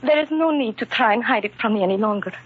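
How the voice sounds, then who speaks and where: An earnest immigrant wife in a radio drama, dignified and wounded. Her voice is fairly high and husky, with a foreign accent and careful, formal phrasing. The old recording sounds dull and muffled. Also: expressive, fast. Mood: cold, wounded. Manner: careful, formal, uncontracted speech.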